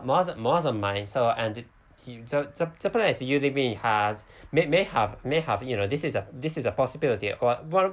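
A man with a Japanese accent hosting a solo podcast, talking with a stutter and many restarts. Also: medium pitch. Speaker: podcast host.

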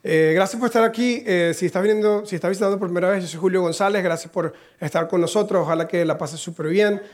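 A slightly hoarse man preaching a conversational sermon in a church, with humorous, self-deprecating asides. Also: high voice, fast. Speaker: pastor. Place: church in Costa Rica.

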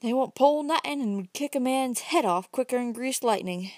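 A woman's voice: high voice, lively intonation, normal speed, darkish tone, dry recording, studio quality, voice pushed up. A woman voicing a talkative, folksy old countryman with a rustic drawl, reading an audiobook. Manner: chatty, homespun, emphatic.

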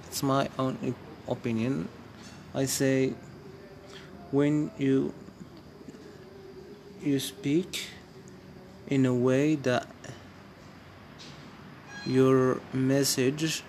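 Man, Amazigh speaker, very slow, halting speech full of restarts, faint hum of air conditioning behind. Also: medium pitch, darkish tone. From Morocco. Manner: hesitant, searching for words, many restarts. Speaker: vlogger. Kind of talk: casual spoken monologue to viewers.

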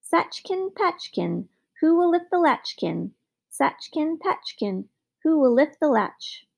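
A woman's voice: high-pitched, big pitch swings, takes it slowly, pitched above the performer's own voice, full character voice. A woman voicing a tiny, wistful green magic man in a storybook, with a thin, sing-song, pleading call. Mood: chanting, pleading. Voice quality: thin, clear, bell-like calling.

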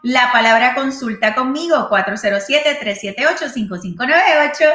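A woman's voice: a little high, animated, moderate pace, pushed and bright, roomy sound. A Puerto Rican woman hosting a live show as a real estate consultant, chatty, mixing in English and often checking in with "¿ok?". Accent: Puerto Rican Spanish. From Puerto Rico. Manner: chatty, switches into English, keeps asking "¿ok?". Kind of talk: live-streamed call-in style talk.

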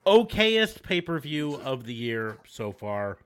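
A man's voice: medium pitch, sing-song, slow pace, clean tone. A man co-hosting a casual podcast, wry and wrapping things up. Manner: wry, summing up. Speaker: podcast co-host.

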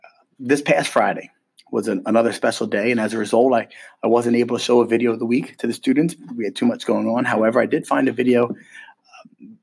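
A man who teaches middle school social studies gives families his weekly podcast update. He is hoarse from a cold and chats casually, with frequent ums and restarts. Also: medium pitch, fairly even intonation, a little fast, a little mellow, poor audio.

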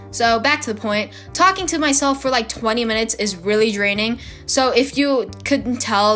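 A teenage girl chats into her phone for her solo podcast, dramatic and quick to poke fun at herself. Her voice sits a touch high and sounds slightly worn from talking so much, with faint music underneath. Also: bright, forceful tone. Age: teens. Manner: chatty, dramatic, self-mocking. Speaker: podcast host. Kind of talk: casual solo podcast chat.

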